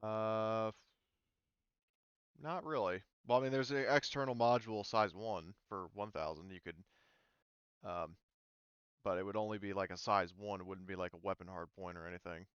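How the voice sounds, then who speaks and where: Male game master, low clear voice, casual and hesitant, thinking aloud, dull dry recording. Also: fairly even intonation, moderate pace, pauses often, fairly bright tone, dry recording. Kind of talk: casual tabletop game planning discussion.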